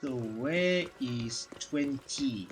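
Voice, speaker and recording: A man reading game dialogue aloud during a playthrough, halting and stumbling over words as he goes. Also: medium pitch, lively intonation, faint music behind. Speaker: gaming streamer.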